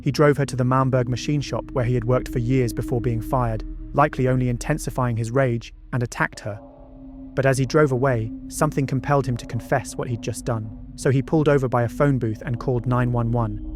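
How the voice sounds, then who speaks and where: A man reading scripted true-crime documentary narration in a measured, dramatic storytelling manner. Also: mid-range voice, steady tone. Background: background music.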